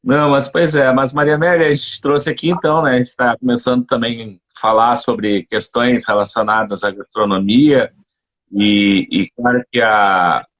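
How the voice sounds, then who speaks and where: A man's voice: medium pitch, moderate pace, dull audio. A man hosting an online live session in an easy, informal way. He talks loosely and often tags his phrases with small fillers. His voice sits in an ordinary middle register. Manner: relaxed, informal, many fillers like "né". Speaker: host.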